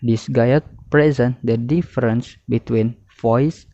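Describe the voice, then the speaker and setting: A young man works methodically through a student presentation at a slow pace. His voice sits at a middling pitch, in a dry, slightly dull recording. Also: fairly even intonation, dark tone, no room sound. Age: young adult. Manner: methodical, listing term then definition. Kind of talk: read-aloud lesson presentation.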